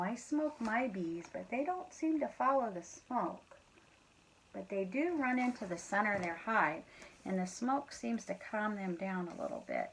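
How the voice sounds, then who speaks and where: A woman reading a children's story aloud in an expressive, encouraging way, talking directly to young listeners with casual asides. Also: a little high, poor audio. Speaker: teacher reading a bedtime story to pupils.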